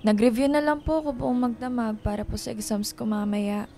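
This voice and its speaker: A woman's voice: a little high, fairly even intonation, brisk, creaky, warm tone. An actress playing a stubborn, dutiful young Filipina student who politely reassures her mother in a radio drama. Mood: polite, weary. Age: young adult. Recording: music behind the voice.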